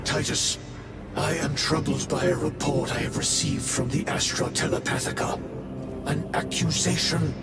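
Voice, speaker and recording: An actor playing a stern, suspicious warrior chaplain in a video game cutscene, deliberate, accusatory and menacing. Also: male voice, fairly high pitch, fairly even intonation, over loud music, lightly acted. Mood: cold, accusing. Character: stern, suspicious warrior chaplain, cold zealous inquisitor of heresy.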